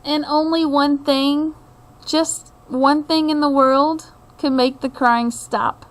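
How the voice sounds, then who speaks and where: A middle-aged woman reading a children's picture book aloud, with expressive storytelling, deliberate phrasing and asides to her listeners. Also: high-pitched, a little hiss or hum. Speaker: read-aloud host.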